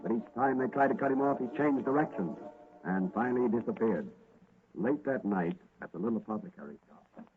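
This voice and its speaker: An actor narrating a radio serial in a dramatic, suspenseful 1940s style. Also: male voice, medium pitch, expressive, fairly quick, gravelly. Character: melodramatic male radio serial announcer. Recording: noisy, tinny old radio recording.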